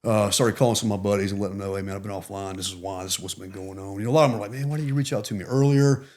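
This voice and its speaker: A man's voice: fairly low pitch, rapid-fire, darkish tone. A middle-aged man, a retired Marine, talking earnestly and reflectively as a podcast guest, with many "you know" fillers. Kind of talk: casual interview.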